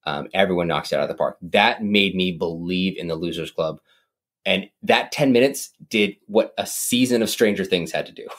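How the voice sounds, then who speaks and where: A very deep-voiced man holds forth on a horror film in relaxed podcast chat. He rambles, doubles back on himself, and fills his sentences with "like" and "um.". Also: normal speed. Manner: animated, rambling, mimics voices with big pitch jumps. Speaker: podcast co-host. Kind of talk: casual movie discussion.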